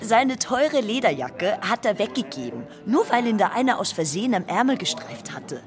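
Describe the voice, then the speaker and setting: A woman's voice: mid-range voice, very animated, moderate pace, faint music behind, close to an everyday voice. An actress playing a down-to-earth, blunt woman who talks in a colloquial, matter-of-fact, sceptical way in a radio drama. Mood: confiding. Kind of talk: German radio drama dialogue.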